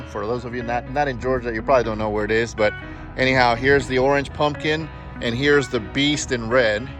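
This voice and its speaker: Enthusiastic rambling man vlogging over music. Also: medium pitch. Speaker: channel host. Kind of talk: casual car vlog talk to viewers. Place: in a car, later outdoor parking lot. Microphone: phone camera mic.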